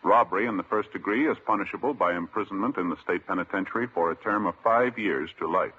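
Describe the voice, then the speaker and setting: Low, forceful radio announcer. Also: male voice, quick pace, slightly rough, full character voice. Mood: solemn, formal. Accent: American. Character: solemn, authoritative male announcer reading court results. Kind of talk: formal 1950s radio announcing. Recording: dull old radio recording, faint noise.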